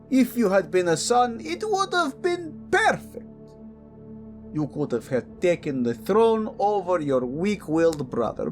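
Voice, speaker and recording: A man playing a cruel, scornful, power-hungry older royal father in an audio drama, with a gravelly, sneering, theatrical voice. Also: sits high, full character voice. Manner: slow, sneering, theatrical swooping delivery. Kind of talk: audio drama villain acting.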